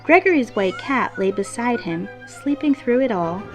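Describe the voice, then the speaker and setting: A woman reading a children's picture book aloud expressively, with measured, deliberate phrasing and emphasis on key words. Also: a little high, very animated. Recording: classical symphony music playing behind. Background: orchestral classical music.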